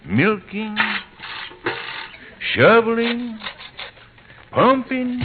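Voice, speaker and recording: Hugely animated radio narrator. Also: male voice, sits high, noisy background, heavily acted. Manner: theatrical, emphatic. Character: mock-dramatic radio comedy announcer. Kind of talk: old-time radio scripted narration. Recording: tinny vintage radio recording. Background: music.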